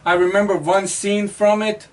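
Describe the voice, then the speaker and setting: A man's voice: high-pitched, little pitch movement, moderate pace, far from the mic. A man co-hosting a casual movie review chat, rambling with lots of fillers and restarts. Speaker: co-host.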